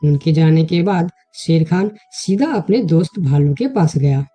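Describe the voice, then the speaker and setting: A woman's voice: deep voice, expressive, a little slow, dark tone, faint music behind. A woman giving scripted narration of a children's story with a moral.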